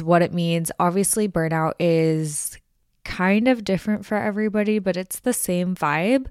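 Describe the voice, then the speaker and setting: A woman's voice: fairly low pitch, clear, resonant tone, no echo. A woman hosting a casual solo podcast, chatting in a rambling way with lots of "like" fillers, her voice slightly nasal from allergies, on a close microphone. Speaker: podcast host.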